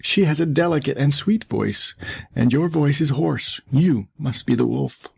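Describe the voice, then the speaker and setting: A man's voice: a little high, expressive, measured pace, vocal fry, darkish tone, husky, pitched above the performer's own voice, exaggerated voice. A man voicing young, innocent goat kids from a fairy tale, earnest and wary. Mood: wary, defiant.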